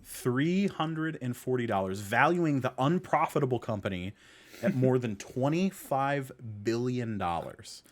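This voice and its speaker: A man's voice: mid-range voice, animated, measured pace. A man hosting a podcast in a casual, conversational style, stressing key points by repeating them. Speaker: podcast host.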